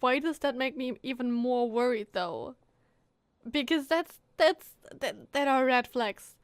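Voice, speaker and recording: Casting: female game streamer, high voice, reactive and chatty, casual play-along commentary, dry close-mic sound. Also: measured pace, smooth, dry recording.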